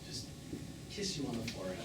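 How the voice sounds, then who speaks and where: A man's voice: on the low side, sing-song, a little fast. Echoey, distant actor as wounded middle-aged man. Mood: wistful, mournful. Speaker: stage actor. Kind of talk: live stage drama acting. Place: small theatre, recorded from a distance. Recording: echoey, noisy stage performance recorded from afar.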